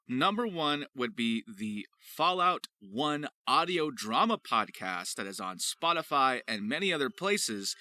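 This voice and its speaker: A man's voice: medium pitch, sharp tone. A man hosting a scripted video as a voice actor, with playful, theatrical and grandiose phrasing.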